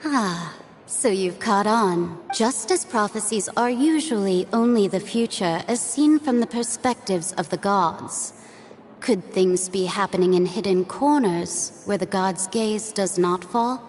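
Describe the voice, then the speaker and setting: Woman voicing mysterious game oracle, serene, very slow and measured, mid-pitched, formal, enigmatic, faint background noise. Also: darkish tone, close to an everyday voice. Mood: solemn, cryptic. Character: mysterious, serene otherworldly woman guide, cryptic and teasing. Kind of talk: video game voice acting.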